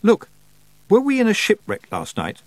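A man voicing a practical young girl witch who speaks in a sharp, commanding way. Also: sits high, wildly swooping pitch, moderate pace, dry recording, voice pushed up, exaggerated voice. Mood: insistent. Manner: insistent, commanding.

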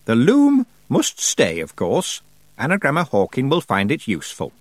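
A man voicing an ancient female witch, stern and sly, in a slow, drawn-out, lofty manner for an audiobook. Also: mid-range voice, wildly swooping pitch, dry recording, voice pushed up. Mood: brisk.

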